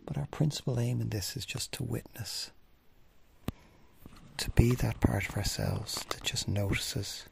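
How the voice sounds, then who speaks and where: A man leading a guided body scan meditation in gently instructing, unhurried phrases with long pauses, his husky, breathy voice often trailing off into a near-whisper. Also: medium pitch, a little hiss or hum. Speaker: mindfulness meditation teacher.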